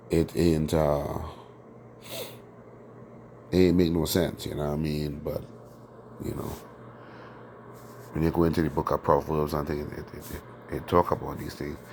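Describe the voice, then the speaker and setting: A man with a very low, steady voice, hoarse from a sore throat, teaching a scripture lesson. He speaks loosely and conversationally, checking in with his listeners again and again. A faint hiss of noise sits under the recording. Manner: conversational, many fillers and tag questions. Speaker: teacher of a religious group.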